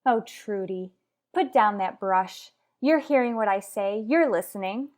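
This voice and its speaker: A woman's voice: medium pitch, a little slow, a little mellow, close to an everyday voice. A woman playing a headstrong married mother in a radio soap, lecturing her rival bluntly and emphatically. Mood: blunt, insistent. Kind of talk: radio soap opera acting.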